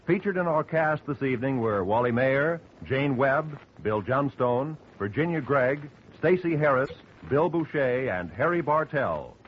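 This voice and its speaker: A man reading closing credits as the radio announcer in a formal, measured announcing voice. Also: mid-range voice, animated, a little hiss or hum. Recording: dull lo-fi 1950 radio transcription with music.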